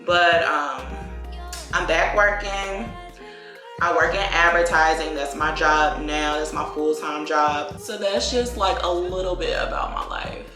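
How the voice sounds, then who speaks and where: A woman's voice: low-pitched, fairly even intonation, unhurried, bright, forceful tone. A woman in her twenties from Virginia, chatting casually as a beauty vlogger and talking about her life with lots of likes and y'alls. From Virginia, USA. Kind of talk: casual get-ready-with-me chat. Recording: echoey room with faint music.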